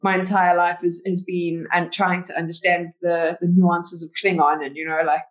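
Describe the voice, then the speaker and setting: A woman's voice: on the low side, little pitch movement, normal speed. Chatty South African woman. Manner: chatty storyteller, many "like" fillers. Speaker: podcast guest. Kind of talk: casual interview chat.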